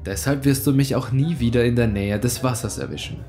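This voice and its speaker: A man narrates a horror tale. His voice sits at a medium pitch, and he tells the story in a suspenseful, dramatic way. Music plays behind him. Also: fairly quick, darkish tone. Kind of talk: dramatic scripted creepypasta narration. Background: background music.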